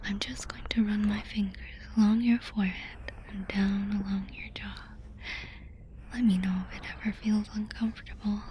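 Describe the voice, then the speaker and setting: A woman playing a playful, affectionate alien girl in a whispered ASMR roleplay, soft and doting. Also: mid-range voice, flat delivery, normal speed, a little hiss or hum, lightly acted.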